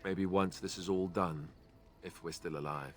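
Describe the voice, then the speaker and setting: A man's voice: sits low, fairly even intonation, moderate pace, lightly acted. An actor voicing a young male warrior in a fantasy video game, earnest and self-doubting as he grieves his mentor. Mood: subdued.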